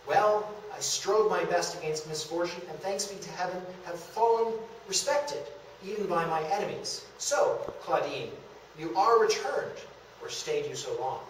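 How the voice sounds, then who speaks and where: A man performs a broken-hearted miller from a period melodrama, theatrical and declamatory. The voice is fairly high and far from the microphone. The room rings heavily around it. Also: expressive, unhurried. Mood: proud, resigned. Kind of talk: dramatic reading of a period stage script. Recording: echoey room, distant camera, background hiss.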